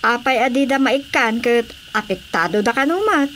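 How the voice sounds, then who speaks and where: A concerned, respectful village woman with an Ilocano accent. Her voice is high, in radio drama style, with faint noise. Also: animated, normal speed, a bit bright.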